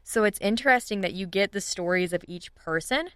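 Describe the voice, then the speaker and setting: A woman's voice: medium pitch, expressive, brisk, a bit bright, clear, resonant tone, dry recording, studio quality. A woman in a casual podcast chat, talking as one of the speakers discussing audio drama.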